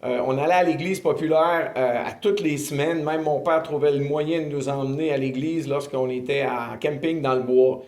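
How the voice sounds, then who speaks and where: A man in his fifties with a Québécois accent, earnestly telling his faith testimony in an interview, often pausing with filler sounds. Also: fairly high pitch, fairly even intonation, darkish tone. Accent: Québécois French. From Quebec, Canada. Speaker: ministry director giving testimony. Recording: roomy echo.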